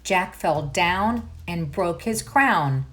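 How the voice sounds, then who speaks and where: A woman reading a storybook aloud for children, pausing to put questions and asides to the young listeners. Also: lowish voice, animated, a bit bright. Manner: unhurried, addresses the listener with questions. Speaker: children's read-aloud host.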